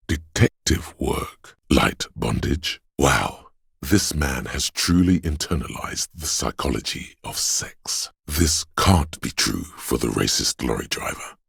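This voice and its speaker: The seductive inner voice of craving in a video game, extremely deep and thick with gravel. He drawls and insinuates like a tempter, husky and rough-edged, always goading toward pleasure. The sound is close and dry. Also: fairly bright tone, no room sound, heavily acted. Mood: insinuating, urging, then curious, hungry. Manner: sensual, drawling, insinuating, savouring words. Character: hedonistic inner voice of addiction and lust, seductive and goading. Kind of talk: video game character voice-over.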